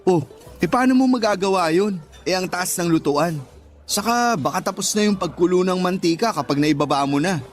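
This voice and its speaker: An actor playing a teasing best friend and kitchen coworker, mocking and jokey, in a Tagalog radio drama. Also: male voice, sits high, a little fast, faint music behind, lightly acted.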